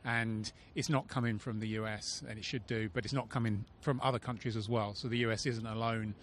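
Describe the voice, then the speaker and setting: A man from London, speaking in a measured, reflective way in a street interview. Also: on the low side, fairly even intonation, slight background noise. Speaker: passerby interviewee. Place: outdoors on a city street.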